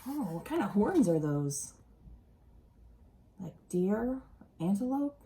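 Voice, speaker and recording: A woman's voice: mid-range voice, husky. A woman reading a children's picture book aloud expressively, with casual asides and questions for young listeners. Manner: animated, deliberate, asks the listeners questions.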